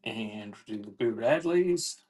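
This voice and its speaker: A man's voice: fairly low pitch, expressive, takes it slowly, a little mellow, slightly rough. A man hosting a live online auction, announcing items in an informal, off-the-cuff sales patter. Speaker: host of a live online auction selling cassette tapes. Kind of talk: casual livestream sales patter.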